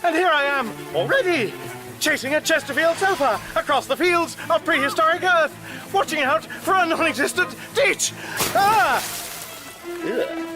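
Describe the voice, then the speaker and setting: A stranded, half-mad Englishman in a radio comedy, music behind him. His high, lively voice dithers between querulous fluster and dry sarcasm. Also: normal speed, low-quality recording. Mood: exasperated, breathless. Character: bewildered, half-mad, sardonic stranded Englishman in a dressing gown. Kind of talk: radio comedy drama acting.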